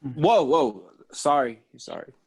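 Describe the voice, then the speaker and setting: A man's voice: mid-range voice, slow, vocal fry, heavily acted. A man voicing a young man at a party in an amateur table read, sounding exasperated. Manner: animated, exasperated.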